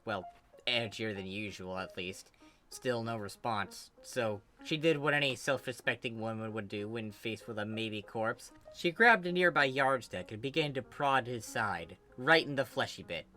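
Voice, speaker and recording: An amateur narrator reads a fan fiction story expressively. The voice sits at a middling pitch, with faint music playing behind it. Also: lively intonation, normal speed, sharp tone.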